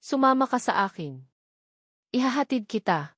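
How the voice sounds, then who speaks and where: Suspicious, commanding gentleman employer read by a woman narrator, romance storybook, little room sound. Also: on the high side, animated, moderate pace, dry recording, clean studio sound, pitched above the performer's own voice. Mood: concerned, firm. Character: serious, suspicious wealthy male employer.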